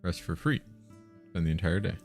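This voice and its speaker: A man's voice: deep voice, brisk, a little mellow. A man streaming a game, chatting casually and reading aloud, hesitant and muttering to himself. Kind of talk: casual live gameplay chat and read-aloud. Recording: guitar music behind the voice. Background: plucked guitar game music.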